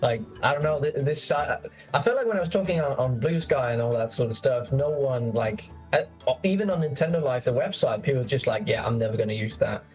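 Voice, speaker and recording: A man chatting enthusiastically over a voice call from his home, quick to laugh and full of fillers like "like". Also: mid-range voice, lively intonation, darkish tone, soft background music. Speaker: co-host on a voice call. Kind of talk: casual chat over voice call.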